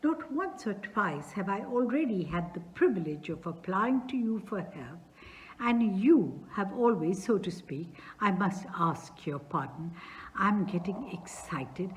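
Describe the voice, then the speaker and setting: A woman's voice: mid-range voice, expressive, a little slow, natural voice, low-quality recording. A woman playing a stammering nervous suitor. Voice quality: stammering, flustered. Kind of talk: reading a play aloud.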